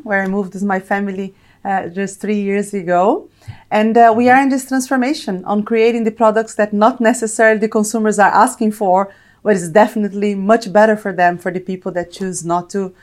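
A woman's voice: mid-range voice, steady tone, brisk, a little mellow. A middle-aged woman from Brazil with a Brazilian accent, explaining things reflectively as an executive guest in a corporate interview.

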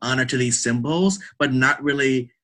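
Bright-voiced man talking through ideas in conversation. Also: mid-range voice, normal speed, a little hiss or hum. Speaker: podcast guest, theatre artist and academic. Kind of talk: casual interview conversation.

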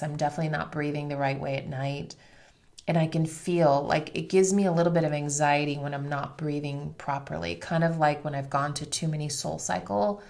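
A woman's voice: deep voice, darkish tone. A woman with a slightly congested voice, hosting in an unscripted, instructive way with guided breathing and casual personal talk. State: slightly congested voice after illness. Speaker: podcast host and meditation guide.

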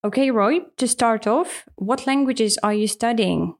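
A woman's voice: on the high side, measured pace. A woman, co-hosting a casual podcast as an English teacher, talking in a friendly, earnest way with frequent fillers. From Russia. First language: Russian.

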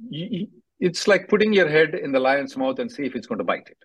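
A man's voice: fairly high pitch, lively intonation, fairly quick, fairly bright tone, slightly rough. A man giving blunt, directive advice as an attorney hosting a call-in, repeating himself for emphasis. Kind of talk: conference call Q&A, quick advice.

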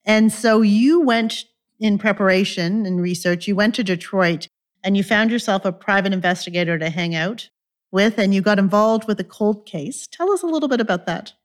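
Thoughtful woman interviewer. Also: medium pitch. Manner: thoughtful, halting with fillers, enthusiastic. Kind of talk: conversational interview.

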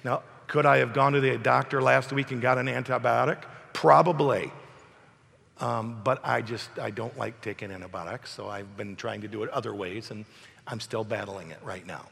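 A man preaching an emphatic sermon while hoarse from a cold, asking his congregation questions. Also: medium pitch, normal speed, fairly bright tone, long reverb tail. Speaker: pastor. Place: church hall.

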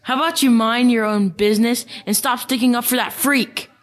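A female performer playing a mean, taunting schoolboy bully in an amateur school radio play, with a husky voice. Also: medium pitch, fairly even intonation, normal speed, natural voice. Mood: mocking.

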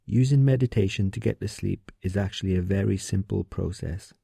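A man guiding a sleep meditation in a soft, gentle, soothing voice, giving calm instructions slowly and leaving pauses. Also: low voice, vocal fry, husky, no echo, clean studio sound. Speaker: meditation guide.

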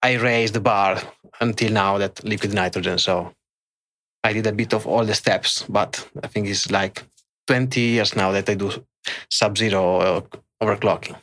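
Italian guy, middle-aged, lowish voice, lots of uh and restarts, chatting in an interview. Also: steady tone, fairly bright tone. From Italy. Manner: halting, many fillers and restarts. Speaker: guest, extreme overclocker. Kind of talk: casual interview.

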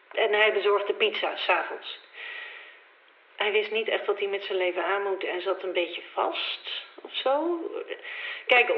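A young woman playing the worried, loyal sister of a missing man in an audio drama, talking casually and haltingly on a roomy, thin recording. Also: mid-range voice, natural voice. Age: young adult. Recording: roomy, thin phone-like old tape.

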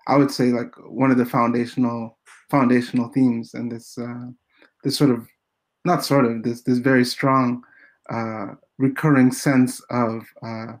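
A man interviewing an author, asking long questions haltingly, with many pauses and restarts. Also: medium pitch. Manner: halting, many fillers and restarts, soft-spoken. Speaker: interviewer, host.

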